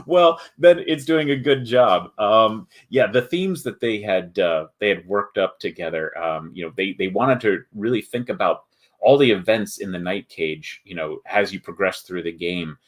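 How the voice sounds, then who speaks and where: A man hosting a casual livestream, chatting with viewers in a halting way as he thinks aloud. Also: fairly low pitch. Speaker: host of a board game company livestream.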